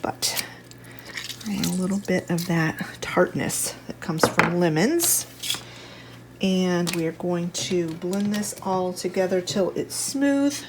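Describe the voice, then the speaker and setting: A woman with a slightly stuffy, congested voice, giving a casual, chatty home cooking demonstration at an unhurried, thinking-aloud gait. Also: lowish voice, noisy background. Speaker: home cook, video host. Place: home kitchen.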